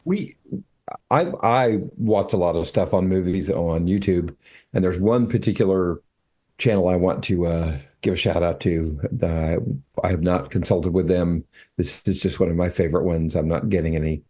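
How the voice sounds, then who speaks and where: An older man with a low, mellow voice talks casually as a co-host. He stops and restarts often and fills the gaps with ums. His tone stays soft and unhurried. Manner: halting, with many fillers and restarts. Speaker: podcast co-host. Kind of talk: casual chat.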